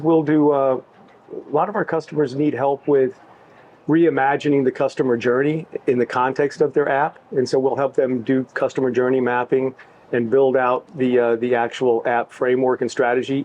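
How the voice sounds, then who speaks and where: A middle-aged man talking about his work in an easygoing, halting way, with many restarts. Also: mid-range voice, steady tone, darkish tone, lo-fi sound. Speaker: tech executive, interviewee. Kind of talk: casual backstage interview.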